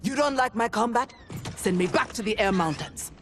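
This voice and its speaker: Actress as young female ninja, lively intonation, earnest, guarded, defiant streak, reverberant hall, music behind, game cutscene. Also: a little high, moderate pace, a little hiss or hum, natural voice. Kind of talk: dramatic video game cutscene acting. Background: dramatic music.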